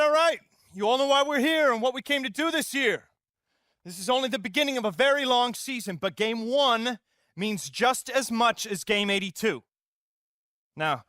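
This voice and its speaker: A man's voice: high-pitched, sharp tone, no room sound, clean studio sound. An actor playing a firm, motivating male basketball coach giving a team pep talk in a video game.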